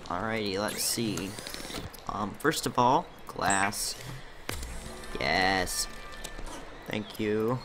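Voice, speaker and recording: A young man commentating his own gameplay in a casual, chatty way, muttering to himself, his voice slightly rough from a recent illness. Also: on the low side, extremely slow, long pauses, music in the background. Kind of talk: casual let's-play commentary.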